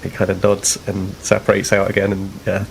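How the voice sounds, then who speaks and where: A man's voice: deep voice, completely flat delivery, brisk, rough recording. A man casually narrating his character as a player in a tabletop roleplaying game. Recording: clear background noise with clicks.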